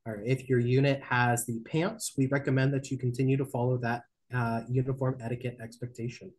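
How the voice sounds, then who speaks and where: A man's voice: mid-range voice, fairly even intonation, darkish tone. A young man presenting camp rules from slides on a video call, reading points out with some fillers and restarts. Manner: reads slide points, spells out links slowly, some fillers. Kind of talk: slideshow briefing. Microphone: webcam on video call.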